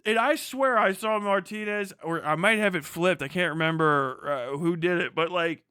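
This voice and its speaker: A man's voice: high voice, moderate pace, pushed and bright, smooth, no echo, studio quality. A man hosting a livestream with casual, opinionated commentary, rambling and emphatic, swearing freely. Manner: rambling, heavily profane, emphatic. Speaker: livestream host.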